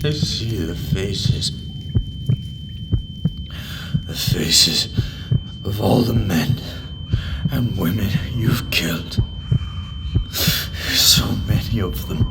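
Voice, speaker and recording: A man's voice: fairly low pitch, expressive, slow pace, long pauses, long reverb tail, very noisy. A man acting in an audio drama as a frightened, distraught captive father, anguished and pleading. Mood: horrified. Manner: anguished, pleading, swinging to outbursts.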